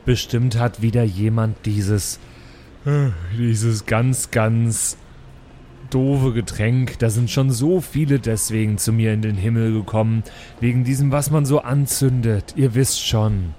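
A man's voice: mid-range voice, steady tone, unhurried, mellow. A man with a middle-range voice takes part in an improvised role-playing game. He speaks in a casual, conversational style over faint background noise.

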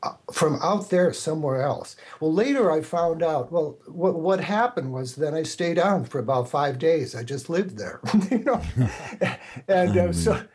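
An older man telling anecdotes casually as an interview guest, with a halting, stammering start to his phrases. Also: on the high side, expressive, darkish tone, breathy edge. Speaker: guest, radio producer.

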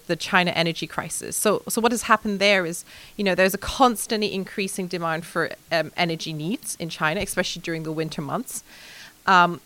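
A woman from Hong Kong, a sustainability executive answering interview questions in a lively way, with frequent fillers and light laughs. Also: lowish voice, no room sound. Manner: animated, laughs often, many fillers.

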